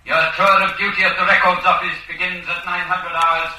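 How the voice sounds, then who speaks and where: A cold male authority booms from a telescreen in an old radio drama. The tone is hard, very sharp and raised, issuing clipped orders. It rings with heavy echo, as if from a distant loudspeaker. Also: flat delivery, normal speed. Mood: menacing, commanding. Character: cold, commanding male voice of the totalitarian state's telescreen. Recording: very echoey, distant loudspeaker sound.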